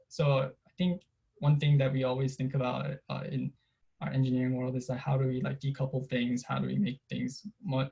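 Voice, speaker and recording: A young man from Korea, a native Korean speaker, giving a casual presentation to engineers about the Korean script, halting and full of fillers and restarts. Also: mid-range voice, creaky, a little mellow, low-quality recording.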